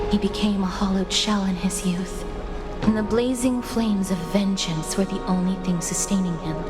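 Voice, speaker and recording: A woman voicing a composed noblewoman who speaks in a calm, solemn way. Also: mid-range voice, close to an everyday voice. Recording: long reverb under loud music.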